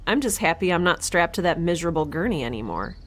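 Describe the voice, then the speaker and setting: A woman's voice: fairly low pitch, big pitch swings, fast. A woman playing a blunt, curious young woman in space, with a wry, rambling, analytical way of talking, in a sci-fi audio drama. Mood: giddy, wry.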